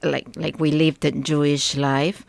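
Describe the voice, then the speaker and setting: A woman's voice: low-pitched, level tone, a little slow, creaky, dry recording. A middle-aged woman with an Argentine Spanish accent, chatting about her life as an interview guest. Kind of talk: conversational personal storytelling.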